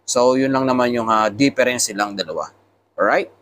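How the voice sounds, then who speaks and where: A man's voice: mid-range voice, moderate pace, a bit bright, slight background noise. Filipino man explaining gear step by step. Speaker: motovlogger reviewing motorcycle intercoms. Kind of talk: casual product tutorial.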